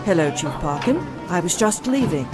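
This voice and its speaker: A woman's voice: on the low side, sing-song, moderate pace, music in the background. A woman playing a principled, no-nonsense doctor in an audio drama, blunt and sarcastic. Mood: brisk, suspicious.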